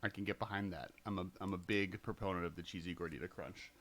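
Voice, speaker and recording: A man's voice: deep voice, steady tone, quick pace, fairly bright tone. A man from Southern California with a Californian American accent, joking easily while chatting over a card game. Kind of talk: casual banter during a card game.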